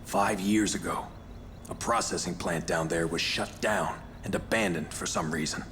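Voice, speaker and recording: Casting: level-headed squad strategist in a game, very deep, measured. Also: male voice, fairly even intonation, a bit bright, slight background noise. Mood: calm, explaining. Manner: measured, explanatory. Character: steady, level-headed male strategist on a military team. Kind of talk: video game cutscene acting.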